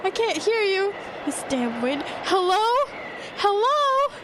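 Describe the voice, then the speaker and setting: A woman's voice: very high voice, big pitch swings, slow, exaggerated voice. A woman playing a freezing, panicky bride-to-be lost in a blizzard in a horror audio drama, anxious and overwrought, in a very noisy recording. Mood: frightened, confused. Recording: very noisy, wind-covered outdoor scene.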